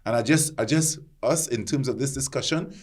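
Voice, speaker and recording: A man with a deep voice making an earnest point in a panel discussion, often restarting his sentences as he builds his argument. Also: a little fast, breathy edge.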